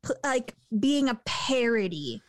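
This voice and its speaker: A woman in a casual movie review chat, talking with lots of fillers and quick laughs. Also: fairly high pitch, big pitch swings. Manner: chatty, laughs often, many fillers.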